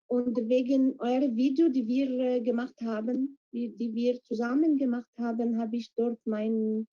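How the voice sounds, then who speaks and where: A woman speaks in a fairly high but very mellow, dark-toned voice. She searches for words and fills her pauses with hesitations. The audio is dull and lo-fi, like an online call. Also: fairly even intonation. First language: Arabic. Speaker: project participant. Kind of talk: interview answers in learner German. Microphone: video call.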